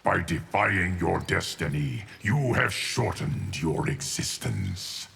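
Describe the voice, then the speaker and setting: A very gravelly, low-pitched immortal guardian for a video game. He is stern and formal, weighing each phrase slowly and solemnly. There is a faint noise behind him. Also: expressive, a bit bright, full character voice. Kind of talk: video game character dialogue.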